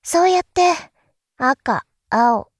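A woman playing a calm, enigmatic elder sister in an audio drama, speaking in a deliberate, philosophical, teacherly way. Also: sits high, husky, dry recording, clean studio sound, heavily acted. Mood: calm explaining. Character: calm, enigmatic elder sister musing darkly about world affairs. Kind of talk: Japanese audio drama acting.

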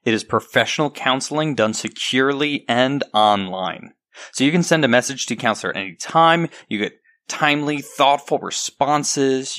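A man's voice: medium pitch, a bit bright. Man reading a conversational podcast ad. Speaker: podcast host reading a sponsor message. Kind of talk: conversational ad read.

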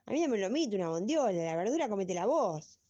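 A woman plays a combative, sarcastic Argentine ex-classmate in a comic radio play. Her tone is dark and mid-pitched, scolding, on a dull recording. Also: big pitch swings, fast talker, a little hiss or hum, close to an everyday voice. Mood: indignant. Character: sarcastic, combative middle-aged female former classmate. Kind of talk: comic radio drama in WhatsApp voice messages. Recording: dull, lo-fi audio.

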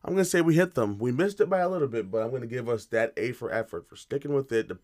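A man co-hosting a casual podcast, tired and a little raspy, talking in a laid-back, joking way with slang. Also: mid-range voice, lively intonation, fast talker, a little mellow. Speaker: podcast co-host. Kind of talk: casual chat.

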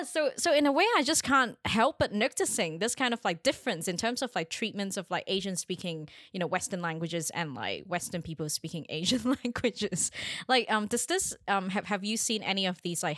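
A woman's voice: mid-range voice, lively intonation, a little fast, a bit bright, dry recording, studio quality. A woman hosting a casual podcast, chatty and giggly, with loose, rambling phrasing. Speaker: podcast host. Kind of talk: casual conversation.

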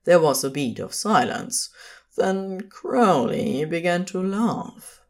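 Casting: female narrator for fiction, slow delivery with lively, varied intonation. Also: on the low side.

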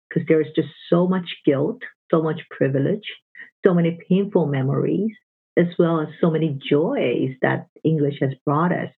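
A speaker sharing personal experience earnestly and deliberately in a discussion forum. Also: mid-range voice, gravelly, husky. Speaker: forum participant. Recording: tinny phone-like line.

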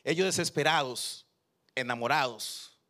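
A man, a pastor preaching and telling personal anecdotes in a lively way. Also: mid-range voice, measured pace. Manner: lively storyteller who acts out quotes.

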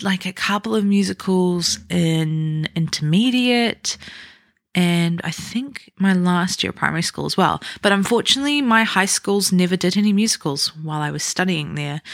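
A young woman with a New Zealand accent hosts a solo podcast, telling her story in a chatty, unhurried way. Her voice sits close and dry, with no room sound around it. She pokes a little fun at herself as she goes. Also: on the low side, dry recording. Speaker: podcast host. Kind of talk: casual solo podcast talk.

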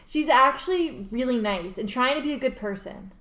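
With room echo around her, a woman acts an earnest teenage perfectionist in a radio drama. Her voice is fairly high and youthful. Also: lively intonation, moderate pace, a bit bright. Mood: defiant, hurt. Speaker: amateur radio play actor. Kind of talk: amateur radio play reading. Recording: roomy, echoing room.

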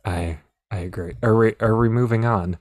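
A man's voice: fairly low pitch, moderate pace, darkish tone, dry recording. A man co-hosting a podcast in casual chat, talking in a hesitant, rambling way with many restarts. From Pennsylvania. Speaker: podcast co-host.

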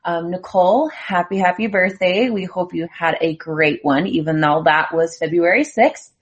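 A woman's voice: lowish voice, normal speed. A young woman hosting a casual group chat, speaking informally with mild, folksy exclamations. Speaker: host of a show with relatives.

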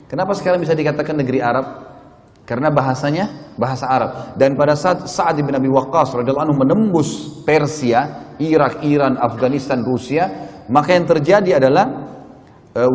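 A male Islamic preacher speaks in a somewhat high-pitched voice. He lectures on religious history, explaining things to his congregation and addressing them directly with short check-in tags. His words ring out with the long reverb of a mosque prayer hall. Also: a little mellow.

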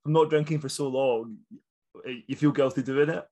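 Rambling Scottish podcast co-host. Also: male voice, mid-range voice, fairly even intonation, normal speed, dark tone. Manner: rambling, laughs often, many fillers. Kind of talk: casual chat.

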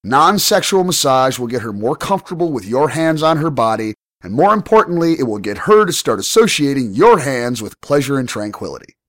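Ill American male podcast host, blunt and profane, lively pitch, dry close sound. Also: brisk, no room sound. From United States. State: ill, recovering from pneumonia. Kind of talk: solo advice podcast, reading listener mail.

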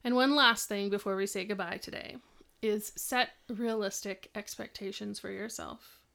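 A woman's voice: medium pitch, steady tone, moderate pace, creaky. A woman co-hosting a parenting podcast from home, giving advice in a rambling, casual way. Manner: rambling, warm advice with fillers. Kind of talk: casual chat, giving tips.

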